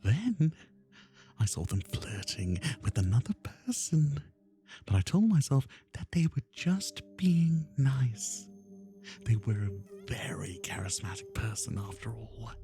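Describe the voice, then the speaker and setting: A man playing an obsessive, lovesick and menacing kidnapper in a roleplay ASMR monologue, with a breathy voice that often drops into whispers and theatrical, lingering emphasis. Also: medium pitch, very animated, takes it slowly, warm tone, faint music behind. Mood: wistful, bitter.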